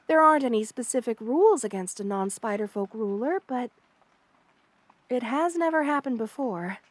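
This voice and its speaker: A woman voicing a kind, motherly spider queen in an ASMR roleplay, soft-spoken, doting and reassuring as she speaks to the listener. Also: fairly high pitch, expressive, normal speed, no echo. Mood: calm, explaining. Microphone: close studio mic, dry.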